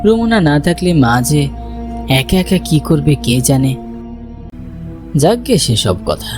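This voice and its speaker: A man plays a homesick village son telling his own eerie tale. His slightly high voice moves up and down expressively, reflective and dramatic, with music clearly playing behind it. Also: very animated, slow pace. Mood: worried, reflective. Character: homesick village son, worried, impatient and dismissive. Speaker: narrator and main character. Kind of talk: dramatized first-person story narration.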